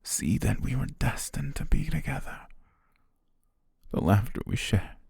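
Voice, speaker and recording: A man voicing a dying young butler, loyal and tender, who speaks in hushed, halting words broken by weak breathy laughs as his strength fades, recorded on a close mic for an ASMR roleplay. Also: mid-range voice, sing-song, no echo, exaggerated voice. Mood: tender farewell. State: fading, short of strength. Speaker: voice actor.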